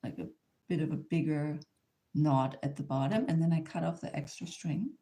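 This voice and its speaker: A woman from Germany with a German accent gives an informal hands-on craft demonstration in English as a second language, sometimes halting and repeating herself as she searches for words. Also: low-pitched, warm tone. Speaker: craft instructor, artist.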